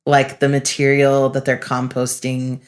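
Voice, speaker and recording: Low-pitched young woman, thoughtful, earnest, filler-heavy casual podcast talk. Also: completely flat delivery, unhurried. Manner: reflective, earnest, with frequent "like" and "um". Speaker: podcast co-host, facilitator.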